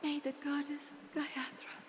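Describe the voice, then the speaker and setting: A woman's voice: high voice, completely flat delivery, measured pace, noisy background. An actress playing a sorrowful young mother who prays and blesses her child in a solemn, tender, sometimes whispered voice, in a video game. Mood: tender blessing. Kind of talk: dramatic video game acting. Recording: long hall reverb, music behind.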